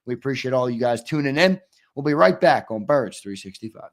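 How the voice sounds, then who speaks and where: A man's voice: medium pitch, lively intonation, fairly quick, noisy background. A man hosting a casual sports talk show, upbeat and losing his voice. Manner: upbeat, reads viewer questions and ad reads. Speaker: host.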